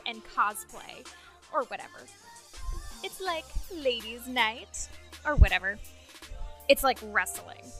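A woman with a high voice delivers a podcast promo slowly, with put-on indifference. Music plays clearly behind her. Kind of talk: podcast promo, deadpan list.

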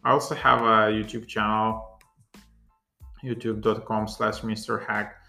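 Ukrainian-accented man, gravelly flat tech musings. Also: on the low side, unhurried, soft background music. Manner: rambling, many fillers and restarts. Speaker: podcast host. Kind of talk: solo casual tech podcast.

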